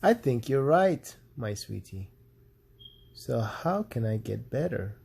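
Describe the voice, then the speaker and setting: A gentle, tired father from a children's storybook, acted out by a man. His husky, creaky voice moves slowly, in an affectionate murmur. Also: medium pitch, sing-song, full character voice. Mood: warm, grateful. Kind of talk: storybook read-along character voice.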